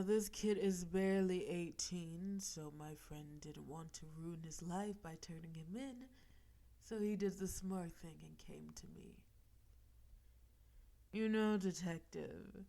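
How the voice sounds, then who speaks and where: A woman acting as a female mob boss from an audio roleplay, smug and dangerously flirtatious. Her voice is dark and mellow with gravelly fry at the edges. She takes her time over every phrase, teasing and threatening with equal confidence. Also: on the low side, steady tone. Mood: businesslike, smooth, then flirty. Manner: teasing, suggestive, slow deliberate delivery with breathy asides. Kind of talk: audio roleplay with gumshoe cliches.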